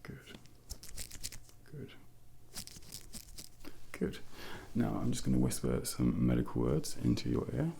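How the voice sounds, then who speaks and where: A soft-spoken man playing a doctor in an ASMR role play, murmuring gentle, reassuring instructions close to the mic and sometimes whispering. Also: mid-range voice, huge pitch swings, takes it slowly, vocal fry, long pauses, a little hiss or hum. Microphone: close-up ASMR microphone.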